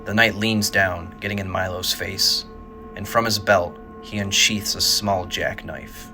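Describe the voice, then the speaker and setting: Male narrator for audio drama, lower register, measured dramatic storytelling, scripted read over prominent music. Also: fairly even intonation. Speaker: narrator, writer and director.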